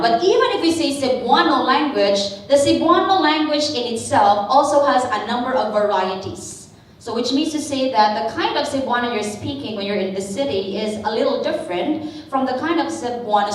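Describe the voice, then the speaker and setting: A woman's voice: on the high side, moderate pace. A woman with a Filipino accent leading an interactive workshop, explaining points and checking with her listeners. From Philippines. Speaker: workshop facilitator. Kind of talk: interactive lecture to participants. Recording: echoey room, distant mic, faint noise.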